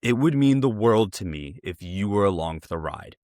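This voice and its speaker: A man's voice: on the low side, expressive, normal speed, a little mellow, no echo, studio quality. An American man hosting a casual interview, talking in a chatty, informal and wordy way. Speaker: interview host.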